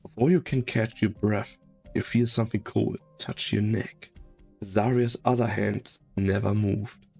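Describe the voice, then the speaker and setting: A man's voice: lowish voice, steady tone, measured pace, gravelly, a little mellow, husky, faint music behind, heavily acted. A man playing the grave narrator of a second-person sci-fi story in an amateur fan dub. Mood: grave, suspenseful.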